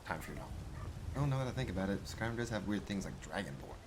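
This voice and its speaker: A man's voice: on the low side, very animated, quick pace, noisy background. A man role-playing his character in a video game, casually musing aloud and chatting as he goes. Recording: game music behind the voice. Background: game music.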